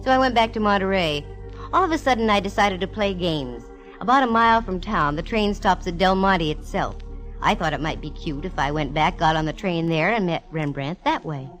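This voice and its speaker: A woman's voice: fairly low pitch, brisk, a bit bright, lightly acted, rough recording. Female private eye for vintage radio drama played by an actress: wisecracking, punchy, music underneath. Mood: wry narration. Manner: wry, punchy delivery. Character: sassy, wisecracking female private eye narrating her case. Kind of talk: 1940s radio detective acting and narration.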